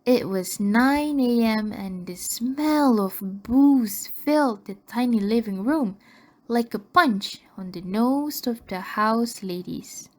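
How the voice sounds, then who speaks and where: A woman narrator tells a scripted radio drama. Her voice is fairly high and her delivery is markedly slow.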